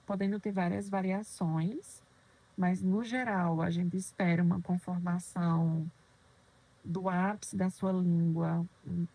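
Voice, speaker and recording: A woman's voice: lowish voice, fairly even intonation, takes it slowly, dark tone. A woman lecturing on children's dentistry, explaining terms patiently and asking questions she then answers herself. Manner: didactic, poses questions and answers them, frequent "né?".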